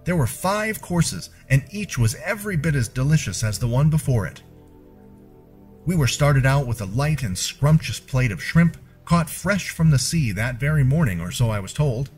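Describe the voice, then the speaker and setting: A man's voice: mid-range voice, animated, moderate pace, breathy edge. A man narrating a first-person story from a script, with formal, genteel storyteller phrasing. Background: music.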